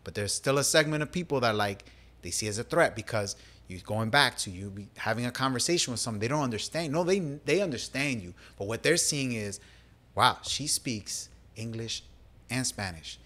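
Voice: medium pitch, expressive, moderate pace, a bit bright. A host in a casual chat who agrees readily, uses many short backchannels and drops in Spanish phrases.

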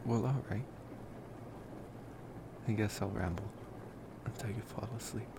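Male actor as affectionate college vampire for ASMR roleplay: low, creaky, soft-spoken, hesitant, wistful, over clear background noise. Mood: affectionate, reassuring. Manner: hesitant, rambling, soft-spoken with pauses and sighs. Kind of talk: ASMR roleplay, intimate personal attention.

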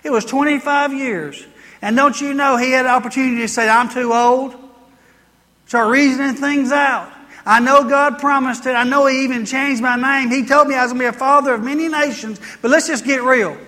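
A woman's voice: high-pitched, fairly even intonation, fairly quick, a bit bright. An American woman preaching a sermon to a congregation in an emphatic, repetitive, exhorting manner. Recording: reverberant hall sound.

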